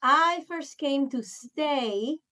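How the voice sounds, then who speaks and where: A woman in her forties from Venezuela with a Venezuelan Spanish accent, a Spanish speaker, chatting as a guest in an interview, animated, with quick restarts. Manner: animated, quick restarts, jumps into high-pitched quoted asides. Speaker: guest, marketing expert. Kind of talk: casual interview chat.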